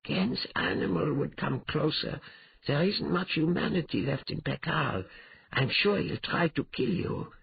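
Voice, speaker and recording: A man's voice: on the high side, expressive, a little slow, vocal fry, husky, muffled recording, pitched above the performer's own voice, exaggerated voice. A man voicing a knowing, cautioning female cat companion in a fantasy storybook. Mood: wary warning. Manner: urgent, cautioning.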